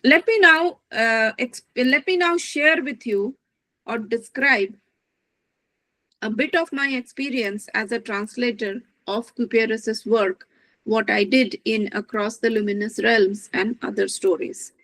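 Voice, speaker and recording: Slow, measured woman lecturing. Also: fairly high pitch, sharp tone, dry recording, low-quality recording. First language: not Dutch. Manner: measured, thoughtful, searching for words. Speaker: guest speaker, literary translator. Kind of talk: academic lecture.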